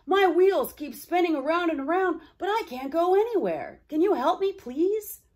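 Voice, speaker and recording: Storybook role: stranded speedy male streetcar, high, animated, exclaiming, grateful, done by a woman. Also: normal speed. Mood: pleading. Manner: exclaiming, pleading. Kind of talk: children's storybook character voice.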